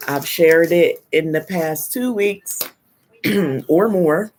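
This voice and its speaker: A woman hosting a casual live stream. She is congested from a head cold and greets viewers slowly, with frequent ums. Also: deep voice, a little mellow, slight background noise. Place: at home in New Jersey.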